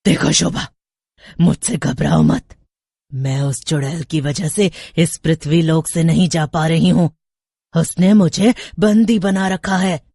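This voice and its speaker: A woman's voice: sits low, takes it slowly, creaky, mellow, slightly rough, no room sound, clean studio sound, heavily acted. A woman voicing a captive ghost mistress, solemn and dramatic, in a horror story. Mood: pleading. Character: vengeful but pleading captive female ghost mistress.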